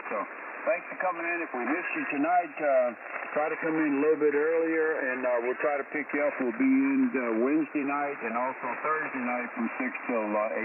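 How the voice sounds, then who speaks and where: A man talking casually as a net controller over shortwave ham radio. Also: medium pitch. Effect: heard over shortwave radio. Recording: tinny narrow radio band, loud static, distant.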